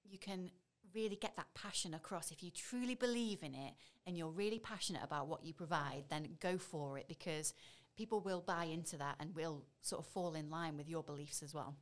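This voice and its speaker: A woman in her thirties with a deep, husky voice, chatting as a voiceover artist on a podcast, bubbly and animated. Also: a little fast. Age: 30s. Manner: bubbly and animated, laughs often. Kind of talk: casual podcast interview.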